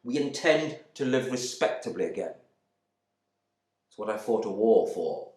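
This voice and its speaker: A man's voice: fairly low pitch, sing-song, unhurried, darkish tone. A man playing a stuffy, bigoted husband back from war in a dramatic monologue, speaking with pompous indignation. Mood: outraged. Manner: pompous, clipped indignation, swinging from muttering to outbursts. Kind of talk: dramatic monologue reading from a novel. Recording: echoey room.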